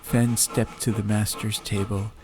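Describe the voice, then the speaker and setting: A man reading a story as an atmospheric audiobook narrator, in measured short phrases with pauses. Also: lowish voice, level tone. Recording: clear background ambient noise. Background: ambience.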